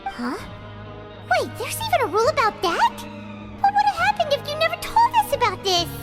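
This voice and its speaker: A woman voicing a small, childlike fairy companion in a video game, excitable, easily spooked and squeaky. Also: brisk, exaggerated voice. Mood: startled, anxious. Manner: refers to self in third person. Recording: loud music behind the voice.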